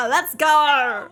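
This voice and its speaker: A woman giving casual live reactions to a video game, excitable and exclamatory, stretching out words, with game music behind. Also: high voice.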